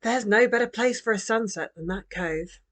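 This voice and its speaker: A woman voicing a kindly, hesitant mother in a children's storybook, with a soft, reasoning manner. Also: medium pitch, moderate pace, pitched above the performer's own voice, natural voice. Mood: dreamy.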